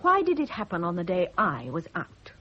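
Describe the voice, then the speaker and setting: An actress playing a bitter, righteous, suspicious nurse, indignant and insinuating, in a radio drama. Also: female voice, on the low side, huge pitch swings, normal speed, husky, full character voice. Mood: righteous accusation. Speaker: private nurse. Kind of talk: 1970s radio drama acting. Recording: dull lo-fi old radio recording.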